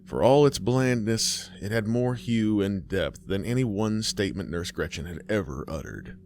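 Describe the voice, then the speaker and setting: Deep-voiced man narrating a horror story. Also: moderate pace, a little mellow, a little hiss or hum.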